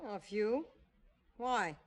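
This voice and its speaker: A woman's voice: medium pitch, expressive, drawn-out words. A woman playing a cool, scheming femme fatale in an old radio detective drama, casual on the surface, sly and mocking underneath. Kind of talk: 1940s-50s radio detective acting.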